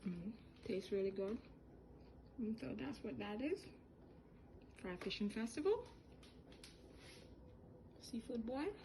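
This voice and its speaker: Slow-talking Jamaican woman cooking at home. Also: on the high side, expressive, creaky. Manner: chatty and unhurried, explaining each step. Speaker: home cook selling meals. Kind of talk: casual cooking vlog. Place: home kitchen. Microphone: hand-held phone. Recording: kitchen phone recording with loud cooking noise. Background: loud boiling and cooking sounds.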